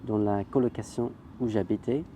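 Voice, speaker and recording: A man from the United Kingdom answers questions casually and hesitantly. His voice is very dark and mellow. Faint traffic noise runs behind. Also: lowish voice, steady tone, normal speed. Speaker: guest. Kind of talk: casual interview.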